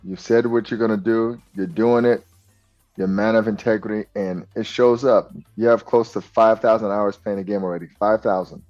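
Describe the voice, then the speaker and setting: Fairly low-voiced man, warm mellow tone, encouraging host, muffled audio. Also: steady tone, normal speed. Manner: affirming, encouraging. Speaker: host and coach. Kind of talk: interview. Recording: dull lo-fi sound, soft music.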